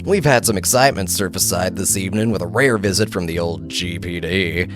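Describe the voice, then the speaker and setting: Man as a low-voiced alien space DJ. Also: a little fast, music in the background, natural voice. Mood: chatty, playful. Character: smooth quirky alien space radio DJ. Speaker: radio DJ. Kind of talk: late-night radio patter in audio drama.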